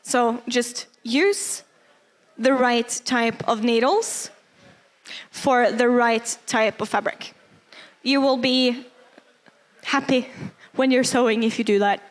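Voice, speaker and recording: Young woman, high pitch, non-native English speaker, casual presenter who stops to hunt for words. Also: takes it slowly. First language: not English. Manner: hesitant, many fillers, searching for words. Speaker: cosplay workshop presenter. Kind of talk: casual workshop talk.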